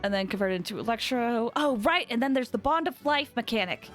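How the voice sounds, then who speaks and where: A woman game streamer giving casual live commentary in an excitable, expressive, giggly way. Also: fairly high pitch, a little fast, soft background music.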